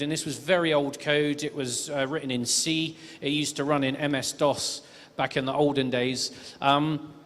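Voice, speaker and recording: A man's voice: fairly high pitch, a bit bright. A man moderating a tech conference panel in a chatty, hedging way with lots of fillers. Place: conference stage. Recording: reverberant conference hall.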